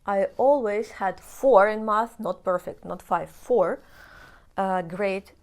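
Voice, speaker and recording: A woman's voice: medium pitch, slow. Chatty Russian-accented young woman. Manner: chatty, explanatory, with frequent restarts. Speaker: vlogger. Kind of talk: casual personal talk to camera.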